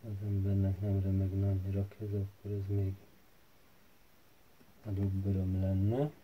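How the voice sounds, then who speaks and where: A man narrating a hands-on tutorial casually, hesitant and halting as he thinks aloud while working. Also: sits low, monotone, phone-quality sound. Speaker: DIY tutorial host. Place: indoor workbench. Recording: faint background noise with handling clicks.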